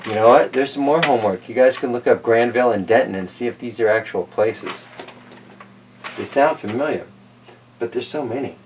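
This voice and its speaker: A man's voice: medium pitch, normal speed, a little mellow. A man hosting a casual, chatty read-aloud of picture books, playful and folksy, with rambling asides. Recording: roomy distant mic with slight noise.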